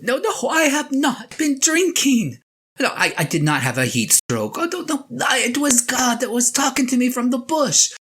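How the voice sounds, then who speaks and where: A woman playing a sheepish, excitable eighty-year-old shepherd husband in an audio drama monologue, stammering and halting as he talks to his wife. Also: sits high, a little hiss or hum. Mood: flustered, earnest. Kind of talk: audio drama monologue, one side of a conversation.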